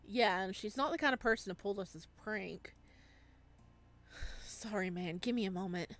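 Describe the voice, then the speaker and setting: A woman voicing an awkward, puzzled young college man in a visual novel, halting and apologetic. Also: mid-range voice, a bit bright, lightly acted. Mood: flustered. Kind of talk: visual novel character read-aloud.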